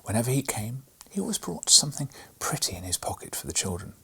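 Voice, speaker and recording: A man reading a bedtime storybook aloud in a soft, hushed delivery with a breathy voice. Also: medium pitch, sing-song, fast, in a whisper. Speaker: storybook reader.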